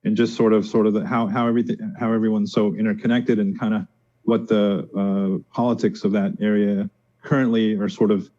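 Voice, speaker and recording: A man raised in the United States talks as a guest on a show, thoughtful and hesitant, pausing with fillers. His voice is low and mellow. The recording sounds dull and lo-fi. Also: little pitch movement. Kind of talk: casual interview talk.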